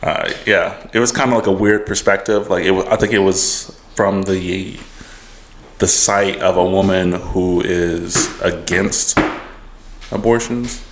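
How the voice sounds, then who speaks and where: Man, podcast co-host, casual, lowish voice, faint noise behind. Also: unhurried. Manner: relaxed, hedging, thinking aloud.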